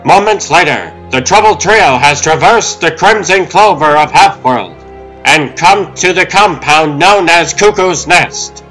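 A man's voice: on the high side, animated, unhurried, bright, forceful tone, rough recording. A man playing a dramatic comic-book narrator, reading formal scripted narration with music underneath.

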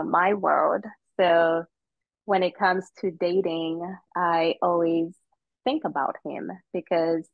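A middle-aged woman from Zambia, a guest telling her personal story in an unhurried, earnest way with many ums. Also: lowish voice, no echo, narrow, tinny audio. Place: outdoors on a footbridge.

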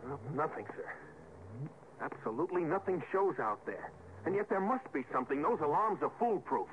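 A man's voice: on the high side, sing-song, normal speed. An eager male junior spaceship officer in old radio drama. He sounds earnest and deferential, with urgency. The recording is tinny and narrow, with a faint hiss. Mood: alarmed. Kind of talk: 1950s radio drama acting.

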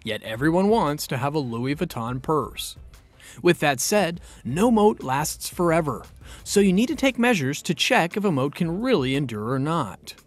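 A male narrator reads a scripted explainer in a smooth, read-aloud delivery. His voice sits a little high and swings widely in pitch. Faint background music runs under him. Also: very animated, normal speed.

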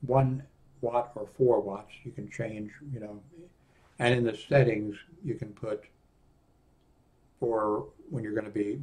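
An older man in his sixties with a fairly low voice reviews a product off the cuff. He talks casually and haltingly, rambling between his own remarks and bits he reads aloud from a screen. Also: a little mellow. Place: small indoor home office room.

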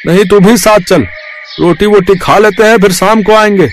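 A man's voice: high voice, fairly even intonation, normal speed, a little hiss or hum, close to an everyday voice. A man playing a kindly ageing farmer father in a cartoon village story, speaking in an earnest, homely and emphatic way. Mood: insistent, fatherly. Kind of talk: cartoon moral story dialogue.

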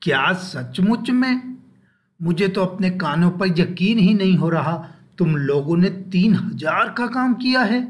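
Man playing a high-voiced cartoon village man. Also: expressive, takes it slowly. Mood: amazed. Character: village father and haggling male customer. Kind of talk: cartoon story dialogue.

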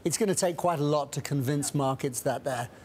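A middle-aged man talks as a studio guest, his voice sitting a bit high for a man. He is brisk and thinks aloud, with frequent restarts and fillers. There is a faint hum under the lapel mic. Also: darkish tone. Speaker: guest strategist. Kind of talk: studio interview.

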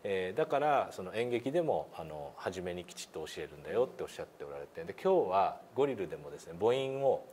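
A man's voice: low-pitched, big pitch swings, fast, few pauses, a little mellow, a little hiss or hum. A middle-aged man instructing politely in a meeting room, with many hesitations as he explains. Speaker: pronunciation instructor demonstrating an app. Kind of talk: explanatory lesson with polite questions.

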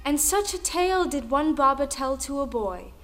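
A woman's voice: sits high, animated, normal speed. An actress playing a female storyteller, narrating an old folktale in an audio play. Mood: storytelling. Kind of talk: scripted dramatic folktale narration. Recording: music behind the voice. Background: music.

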